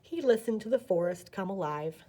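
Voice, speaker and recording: A woman reading a picture book aloud as a children's storyteller, with animated, dramatic emphasis. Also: medium pitch, moderate pace.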